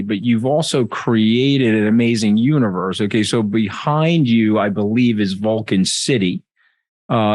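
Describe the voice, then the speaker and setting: A man interviewing a guest over a video call, asking questions eagerly with stumbling restarts. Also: lowish voice.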